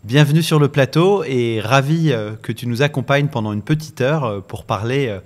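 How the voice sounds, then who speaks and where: A man hosting a podcast interview, asking questions haltingly with many fillers and giving short acknowledgements while his guest talks. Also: mid-range voice. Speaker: host.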